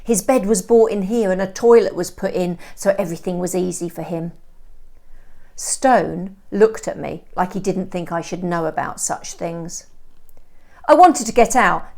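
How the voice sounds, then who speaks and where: A woman reads a story aloud as a teacher would, with expressive, clearly phrased delivery. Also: on the low side. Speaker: English teacher.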